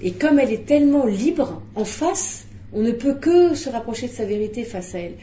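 A middle-aged woman, a film director, talking at a press conference, animated and restarting often. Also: fairly high pitch, moderate pace, roomy sound. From Belgium.

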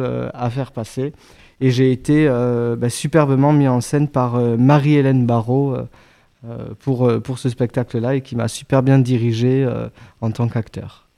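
Halting man talking up his show. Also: medium pitch, warm tone. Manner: halting, many restarts. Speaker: actor promoting his stage show. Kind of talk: radio interview guest.

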